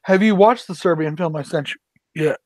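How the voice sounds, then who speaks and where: High-voiced man chatting with friends. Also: dry recording. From Denver. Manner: talkative, interrupts with quick questions. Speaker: host. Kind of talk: casual group chat.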